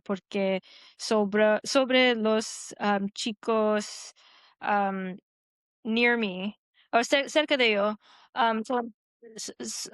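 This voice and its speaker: A woman whose first language is Hindi speaks learner Spanish slowly and haltingly. Her voice is clear and smooth, recorded dry and close. She fills pauses with "am" and slips English words in between. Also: medium pitch, no room sound. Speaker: podcast guest. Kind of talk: casual conversation in learner Spanish.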